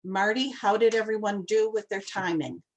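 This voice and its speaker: A woman's voice: mid-range voice, fairly even intonation, moderate pace, lo-fi sound. Woman chairing an online club meeting. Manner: friendly, orderly, introducing speakers. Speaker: meeting host. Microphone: video call.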